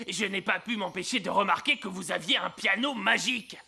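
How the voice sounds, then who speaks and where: A man's voice: sits high, brisk, gravelly. An actor dubbing a pushy, falsely polite male gnome king in a cartoon. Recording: cartoon soundtrack with music.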